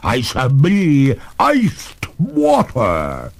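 A genteel lady water ghost from a storybook, played by a man, pitched far up and swooping. The speech is slow, drawn out and haughty, full of melodramatic, despairing pleas. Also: big pitch swings, darkish tone, slight background noise, heavily acted. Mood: threatening. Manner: formal, drawn-out, melodramatic pleading.

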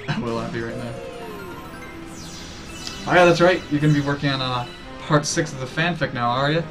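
A man's voice: mid-range voice, moderate pace, long pauses. A young man streaming a game from a small room, chatting and giggling as he reads out chat messages. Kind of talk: casual live-stream chat. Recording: game music underneath.

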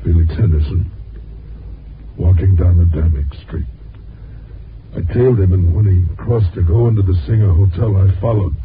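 Male actor, broken-down ex-reporter, very low voice, bitter, halting, 1950s radio drama, muffled recording. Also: a little hiss or hum, close to an everyday voice. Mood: bitter, weary.

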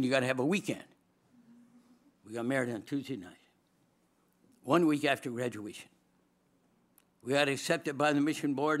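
An older man with a Swedish accent preaching at a lectern microphone in a large auditorium, telling his story with humor and frequent laughter. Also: fairly high pitch. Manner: lively, humorous, laughs often, gestures broadly. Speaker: chapel speaker, former dean. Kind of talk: storytelling chapel sermon.

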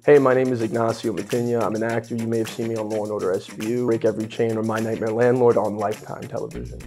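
A man in his thirties, from Poland and raised in Brooklyn, chatting as a guest actor in a lively, joking way with many restarts, on a lapel mic in a home kitchen. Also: lowish voice, fast talker, music in the background. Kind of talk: casual interview chat.

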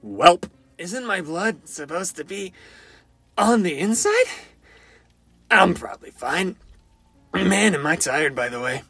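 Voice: medium pitch, lively intonation, slow pace, long pauses, a bit bright, slightly rough, no room sound, faint music behind, exaggerated voice. An amateur voice actor playing a cocky, goofy, sarcastic survivor in fan-made video game voice lines, flippant and panicky with theatrical delivery. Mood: teasing, coy.

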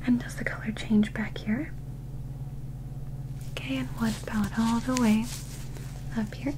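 A young woman doing a soft-spoken ASMR medical roleplay in a breathy whisper, with gentle, measured, clinical instructions. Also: fairly high pitch, steady tone, noisy background. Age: young adult. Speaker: ASMR performer playing an alien medical examiner. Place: small indoor set.

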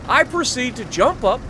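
A man's voice: extremely high pitch, background noise. A young American man casually telling a story over tank game play in short, emphatic phrases, as host of a gaming video.

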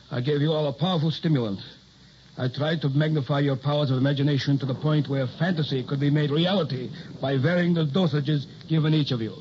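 A man acting a worried, secretive older scientist in a 1960s radio drama, speaking in a measured, formal, solemn way. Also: a little high, lightly acted. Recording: muffled, noisy old radio recording.

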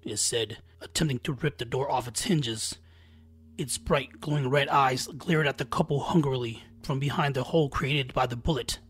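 A man's voice: mid-range voice, moderate pace, husky. A man narrating a scripted scary folktale as a storyteller, with dramatic, suspenseful delivery. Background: background music.

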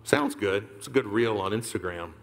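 A man in his thirties preaching a sermon on a large church stage, swinging from loud calls to hushed whispers and calling on the crowd to answer back. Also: on the low side, normal speed. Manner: preaching with sudden whispered drops and loud rises. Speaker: pastor.

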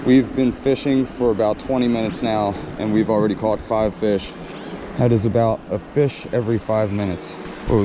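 Upbeat man fishing outdoors, low voice. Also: poor audio. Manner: upbeat, chatty, addressing viewers. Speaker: fishing vlogger. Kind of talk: casual running commentary while fishing. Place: outdoors by a creek. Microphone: body-worn action camera.

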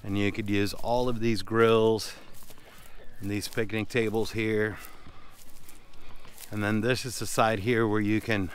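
Low, smooth-voiced man giving a walking tour. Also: measured pace, pauses often. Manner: relaxed, conversational, addresses viewers directly. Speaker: fishing boat captain and vlogger. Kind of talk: casual walking tour narration. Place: outdoors on a small island.

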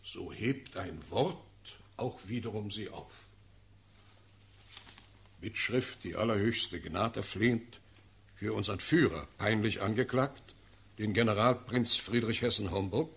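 An actor playing a commanding, shrewd sovereign in a classical verse radio drama, with measured, formal, imperious delivery. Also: male voice, on the low side, gravelly, a bit bright, full character voice. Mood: probing. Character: commanding, shrewd ruling Elector of Brandenburg.

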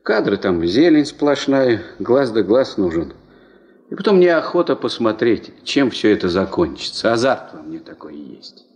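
A man's voice: fairly low pitch, very animated, normal speed, darkish tone. An actor playing a frank, keen male expedition comrade in a 1970s radio drama. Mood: frank, apologetic.

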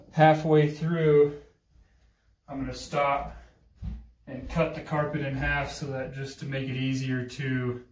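An American man in his thirties casually talking through a DIY renovation, plain-spoken and halting as he thinks aloud. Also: mid-range voice, darkish tone. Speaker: DIY vlogger. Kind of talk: casual hands-on renovation walkthrough. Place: small empty bedroom indoors. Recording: roomy echo, faint hiss and handling clicks.

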